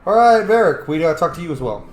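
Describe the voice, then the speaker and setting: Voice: medium pitch, sing-song, quick pace. A gamer giving casual, playful commentary on a fantasy roleplay playthrough, reacting to the characters. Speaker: gamer, channel host. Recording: roomy echo, faint game music. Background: faint music.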